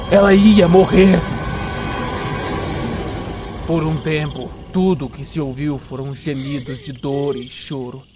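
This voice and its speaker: A man's voice: a little high, background noise. A man with a Brazilian accent narrating a dramatic story in suspenseful, short clipped phrases. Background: music.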